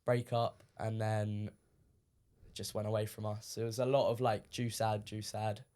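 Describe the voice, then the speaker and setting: A teenage man from the UK, a guest on a podcast, talking casually with lots of ums, his voice a little croaky from shouting. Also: lowish voice, moderate pace. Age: teens. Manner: relaxed, many ums, recounting matches. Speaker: guest player.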